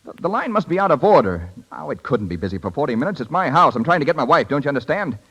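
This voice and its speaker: An actor playing a proud, fed-up young American husband in a 1930s radio drama. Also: male voice, fairly high pitch, big pitch swings, quick pace, a bit bright, husky, lo-fi sound, heavily acted. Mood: agitated.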